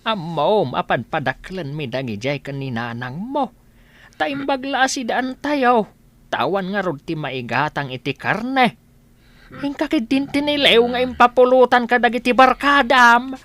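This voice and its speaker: A woman's voice: a little high, animated, measured pace, fairly bright tone. A woman playing an elderly village father in an Ilocano comedy radio drama, concerned and scolding, with an Ilocano accent and an exclaiming manner.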